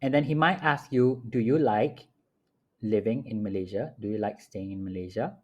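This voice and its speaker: A man teaching phrases at home, repeating each one slowly and clearly for learners in a patient lesson style. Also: fairly low pitch, highs cut off. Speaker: language teacher. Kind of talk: slow, repetitive phrase-by-phrase teaching. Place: home apartment.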